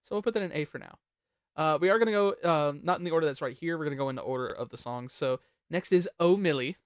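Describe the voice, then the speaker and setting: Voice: mid-range voice, quick pace, clean tone, no echo, clean studio sound. A host chatting casually while ranking songs on a panel show, jokey, profane and talkative.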